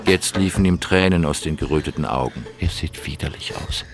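Deep, gravelly male narrator. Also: brisk, over loud music. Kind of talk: scripted radio drama narration.

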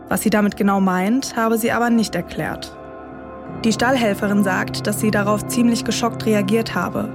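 Female true-crime podcast host, mid-range pitch, measured scripted storytelling, clear music bed underneath.

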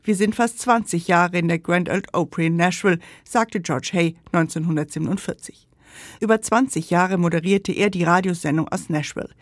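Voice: mid-range voice, fairly quick, no room sound, clean studio sound. A narrator reading a scripted radio history feature.

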